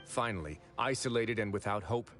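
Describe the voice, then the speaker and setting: Stern, composed game authority figure: lowish voice, formal, measured, articulate, grave. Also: male voice, expressive, fairly bright tone, faint music behind. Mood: somber explaining. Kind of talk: scripted video game voice acting.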